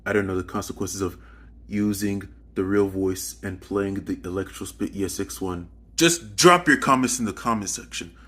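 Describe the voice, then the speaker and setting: A man giving a casual hands-on music gear demo to viewers, halting as he goes, often repeating and correcting himself. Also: low-pitched, a bit bright. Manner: halting, repetitive, self-correcting. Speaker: video host demonstrating music gear.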